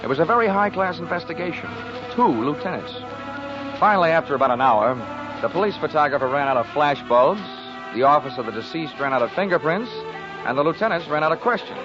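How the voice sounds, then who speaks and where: A man's voice: on the high side, expressive, fast talker, close to an everyday voice. Male hardboiled sleuth acted, flippant and wry, 1940s radio drama narration, muffled broadcast sound with music. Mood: sardonic. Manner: dry, sardonic wisecracks. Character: wisecracking hardboiled male insurance investigator, cocky and flippant. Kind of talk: 1940s radio acting with first-person narration.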